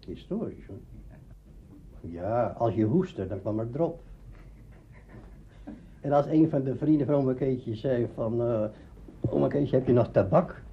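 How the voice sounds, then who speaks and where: An older man, a veteran radio actor, reminiscing and explaining deliberately in an interview. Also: mid-range voice, expressive. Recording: dull lo-fi archive recording, faint noise.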